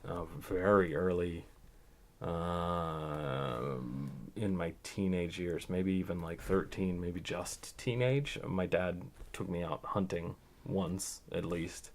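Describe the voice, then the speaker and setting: Man with a low voice, podcast guest; casual, reflective storytelling in halting phrases. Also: steady tone. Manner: halting, thoughtful, pauses mid-sentence.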